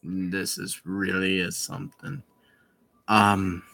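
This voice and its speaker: Slow, bright-voiced gamer reading aloud. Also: deep voice, little pitch movement, gravelly. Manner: theatrical, puts on voices while reading. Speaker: gaming video host. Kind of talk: casual let's play, reading visual novel dialogue aloud.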